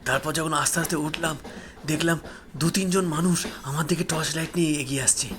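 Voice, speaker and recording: Man voicing a grieving, pleading male ghost, high-pitched. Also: steady tone, a little fast, a bit bright, slight background noise, lightly acted. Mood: anguished recounting. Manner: plaintive, imploring, repeats phrases with heavy feeling.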